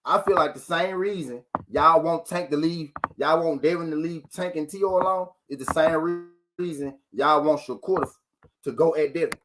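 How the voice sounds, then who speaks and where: A man's voice: fairly high pitch, moderate pace, noisy background. A man hosting a live boxing talk show, arguing his point with rhetorical questions, repeating himself and greeting viewers from the chat.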